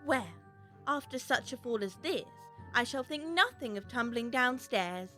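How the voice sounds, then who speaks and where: Curious little girl in an audiobook, really high bright voice, chatting away to herself. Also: animated, measured pace, soft background music. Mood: proud, musing. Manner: musing aloud to herself. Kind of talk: full-cast audiobook acting.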